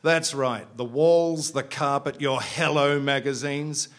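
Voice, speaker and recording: A man's voice: medium pitch, lively intonation, normal speed, creaky, a bit bright, breathy edge, heavily acted. Male storybook rogue, crude and boozy, cocky swagger, read by a man. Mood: taunting. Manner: swaggering, profane, gleefully boastful. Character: crude, boozy, foul-mouthed male salesman.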